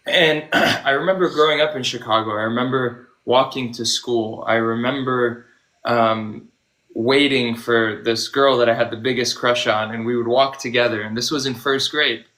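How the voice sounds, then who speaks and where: A man's voice: mid-range voice, fairly even intonation, moderate pace, bright, forceful tone, rough recording. A man from the United States, a guest on a live interview, earnestly telling a personal story with some "um" and "uh" fillers. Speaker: guest, medical humanitarian.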